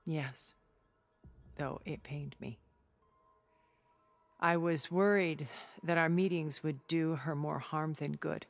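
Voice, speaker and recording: An actress playing a poised, secretive, long-lived woman in a video game cutscene, speaking in a measured, formal, eloquent way. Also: low voice, fairly even intonation, creaky, exaggerated voice. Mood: regretful, earnest. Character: poised, secretive thousand-year-old woman, refined space colonist.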